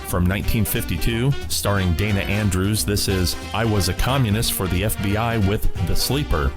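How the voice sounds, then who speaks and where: A man's voice: on the low side, moderate pace. A man hosting a radio show, reading a scripted introduction. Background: clear guitar music bed.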